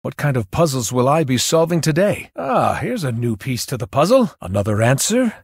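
A man's voice: medium pitch, big pitch swings, moderate pace, a little mellow, husky, no echo, clean studio sound. A young man playing a thoughtful, cerebral young mage in a video game, earnest and self-doubting, with an introspective, wry delivery. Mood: curious, delighted. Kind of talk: video game character voice lines.

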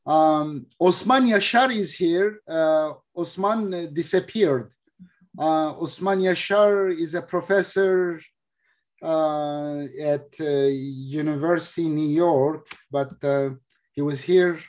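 A man hosts an online call, speaking slowly and hesitantly with frequent pauses. His voice sits somewhat high for a man. He is heard through a laptop mic. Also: a little mellow. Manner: slow, hesitant, many uh pauses. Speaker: host. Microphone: laptop webcam mic on video call.

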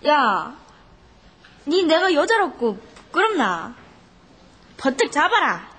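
A woman's voice: high-pitched, very animated, takes it slowly, fairly bright tone, exaggerated voice. A young actress playing a plucky, blunt country schoolgirl in a children's TV drama, with a Gyeongsang accent. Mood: delighted, teasing. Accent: Gyeongsang Korean. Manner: brash, outspoken. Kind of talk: television children's drama acting.

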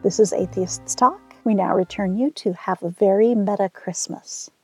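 A woman's voice: mid-range voice, normal speed, faint music behind. A woman reading scripted continuity announcements as a radio show announcer.

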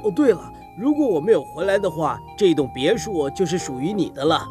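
An actor playing a genial, wealthy middle-aged villa owner in a folk-tale cartoon, with a husky voice and effusive, courteous delivery. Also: male voice, on the high side, big pitch swings, fairly quick, dark tone, faint music behind. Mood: polite, grateful.

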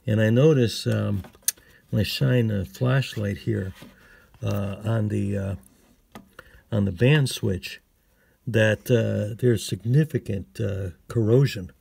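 A man casually narrating a hands-on repair as a hobbyist, hesitant and pausing often, recorded on a handheld phone. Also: lowish voice, vocal fry, darkish tone, slightly rough. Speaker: hobbyist radio restorer.

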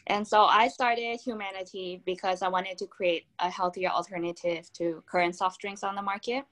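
A woman's voice: mid-range voice, fairly even intonation, moderate pace, fairly bright tone. A young woman from Taiwan, founder of a drink brand, explaining her product upbeat on a video call from a room at home. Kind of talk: video call panel answer.

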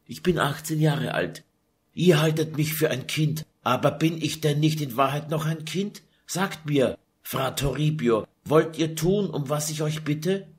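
A man's voice: on the high side, a little slow, pitched above the performer's own voice. A man voicing an eighteen-year-old grieving duchess who pleads and laments through sobs. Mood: pleading. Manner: sobbing, pleading, breathy lament.

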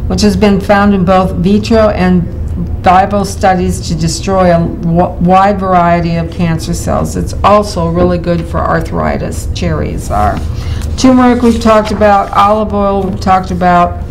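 Older woman host, low-ish voice, chatty and halting as she reads notes, clip mic in a small studio, faint hum. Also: steady tone, poor audio. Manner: chatty, reads from notes with frequent ums and restarts. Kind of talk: casual health talk, reading notes. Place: TV studio in front of a virtual set.

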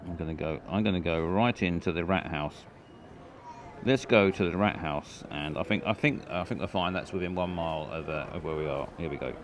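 A man's voice: low voice, brisk. A man streaming live, rambling in a dry, self-mocking way while reading out viewers' chat. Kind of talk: casual live-stream commentary.

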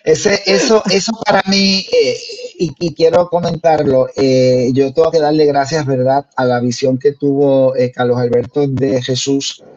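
An older man from Puerto Rico, a guest singer telling his story in an interview, earnest and unhurried. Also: medium pitch, steady tone, a little mellow, dry recording. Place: home room. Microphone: headset on video call. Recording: dull lo-fi video call audio.

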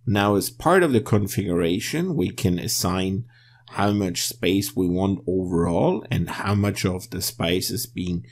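A man presenting a software tutorial as screencast narration, explaining step by step in a measured way. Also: deep voice.

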